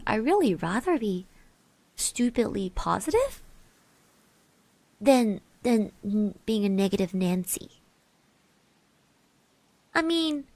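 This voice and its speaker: A woman speaking softly in an ASMR roleplay, gently reassuring the listener, musing with pauses and little hums, close to the microphone. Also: on the high side, dry recording. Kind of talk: soft-spoken ASMR roleplay, personal encouragement. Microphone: close microphone, dry.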